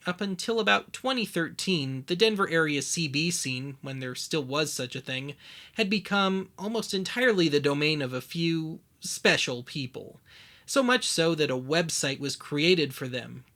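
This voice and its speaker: A man narrating a scripted documentary as its host, with wry, deadpan humour. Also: on the high side, normal speed.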